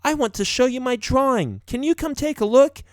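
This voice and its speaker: Man doing an excited, very high little kid. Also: normal speed, no room sound, studio quality. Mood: excited, eager. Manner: eager, exclaiming. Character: excited little rat children greeting their father. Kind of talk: storybook character voice.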